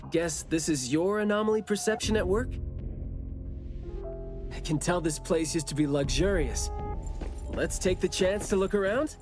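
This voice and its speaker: A woman's voice: mid-range voice, natural voice. A woman playing a breezy, teasing young investigator in a video game, talking casually.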